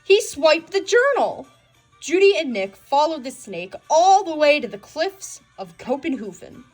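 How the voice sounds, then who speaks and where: A woman reads a children's story aloud in a high voice that swings widely in pitch. Her delivery is expressive storytelling, and music plays behind her. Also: unhurried. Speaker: storybook reader. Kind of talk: children's read-aloud narration.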